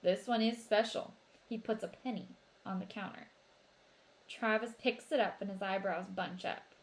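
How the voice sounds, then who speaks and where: A woman narrating a children's novel as an expressive read-aloud. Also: mid-range voice, moderate pace.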